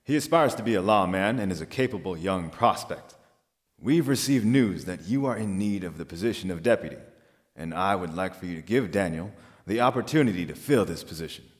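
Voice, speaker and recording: A man's voice: deep voice, expressive, brisk. An actor playing a stern, middle-aged judge and demanding father in an audio play, with a formal, commanding manner.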